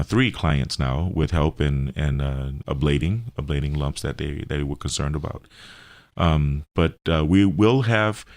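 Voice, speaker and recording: A man explaining things in a radio interview, with halting phrasing and repeated words. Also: a bass voice, clean tone, no echo, clean studio sound. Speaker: radio show host and health practitioner.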